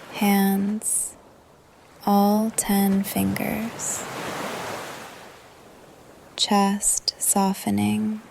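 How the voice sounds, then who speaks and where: A woman leading a guided meditation in a soft, calm, breathy voice that often trails into a whisper, with gentle pauses. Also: mid-range voice, a little hiss or hum. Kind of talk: guided meditation, yoga nidra narration. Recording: ocean surf under the voice. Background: ocean waves ambience.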